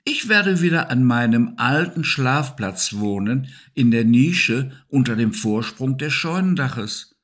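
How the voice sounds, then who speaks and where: Male reader as a gentle small night creature, raised pitch, unhurried and soothing. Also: expressive. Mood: calm. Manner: soothing and patient. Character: calm, gentle male nocturnal animal. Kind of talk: storybook reading.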